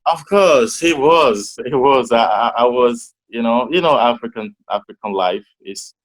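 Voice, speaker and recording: A young man from Togo talks through his answers on a video call. He hesitates and restarts often, and his pitch sits at an ordinary middle level. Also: a little slow, rough recording. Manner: hesitant, many restarts and fillers. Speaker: interview guest. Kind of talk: casual interview answers.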